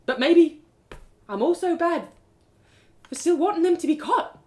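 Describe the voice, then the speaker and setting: A woman voicing an earnest young man torn by guilt, pleading haltingly in a story. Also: extremely high pitch, expressive, mellow, voice pushed up. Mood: pleading, anguished. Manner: halting, repeating words as he pleads.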